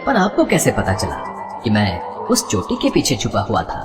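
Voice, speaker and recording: A man's voice: on the low side, huge pitch swings, normal speed, music in the background. A man voicing a good-natured, guileless god and fond husband in a devotional story, with formal, playfully bemused delivery. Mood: confident, boastful. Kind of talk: animated devotional story dialogue.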